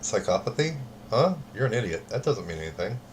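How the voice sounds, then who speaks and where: A man reading party game cards aloud in casual chat, with a dry, deadpan delivery. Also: on the low side, a little fast, a little hiss or hum. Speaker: card game player.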